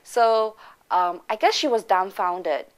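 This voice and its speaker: A woman from Singapore, giving an earnest personal faith testimony as a church member, pausing often as she reflects. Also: medium pitch, lively intonation.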